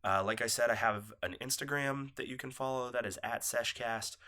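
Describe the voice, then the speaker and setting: A man's voice: medium pitch, steady tone, normal speed, sharp tone. A man hosting a casual solo podcast, nasal and coughing from a cold, rambling and apologetic. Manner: rambling, apologetic, loose and laid-back. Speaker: podcast host. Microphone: phone set down nearby.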